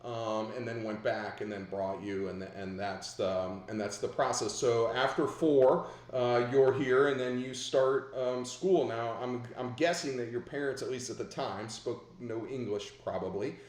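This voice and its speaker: A man's voice: medium pitch, measured pace, hardly any pauses, a little mellow, echoey room. A man hosting a video call interview, asking long rambling questions with many restarts. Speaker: host.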